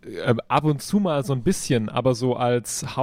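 A man's voice: mid-range voice, animated, normal speed, darkish tone, dry recording. A man talking as a podcast guest and sound designer, explaining his work in casual chat.

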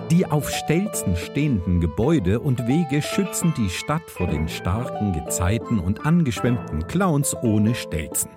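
A man playing a theatrical, gruff pirate narrator who reads out a scripted adventure description with pomp. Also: mid-range voice. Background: loud music.

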